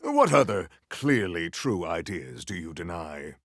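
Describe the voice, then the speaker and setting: An actor playing a solemn, valiant warrior hero in a video game, speaking in formal, archaic, grandiose phrases. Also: male voice, low voice, sing-song, unhurried, no room sound, clean studio sound, exaggerated voice. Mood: grave, declamatory. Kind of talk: theatrical video game character lines.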